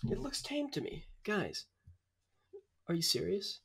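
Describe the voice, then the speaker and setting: Young guy w a bit of gravel and fry, bantering on a podcast. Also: high voice, huge pitch swings, measured pace, a bit bright. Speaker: podcast co-host. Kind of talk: casual chat, playful debate.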